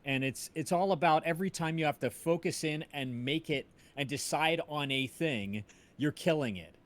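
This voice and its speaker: A man's voice: fairly high pitch, normal speed. Man in casual panel discussion. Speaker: podcast creator. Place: remote video call.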